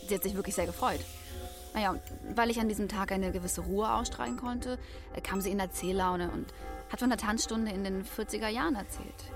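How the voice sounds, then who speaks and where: A woman's voice: medium pitch, close to an everyday voice. A woman playing young woman, earnest, searching, thoughtful, hesitant, natural radio drama delivery. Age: young adult. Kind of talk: naturalistic radio play acting.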